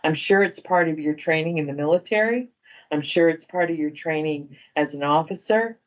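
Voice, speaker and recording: Low female voice, an organization leader giving informal thanks at a staff ceremony, halting delivery, dull recording. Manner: halting, appreciative, addressing a group. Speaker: medical center director presenting awards. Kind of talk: informal award presentation speech.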